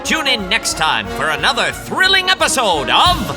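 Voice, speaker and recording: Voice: medium pitch, wildly swooping pitch, moderate pace, music in the background. An announcer reading scripted credits and promotions in a dramatic, emphatic old-time radio style.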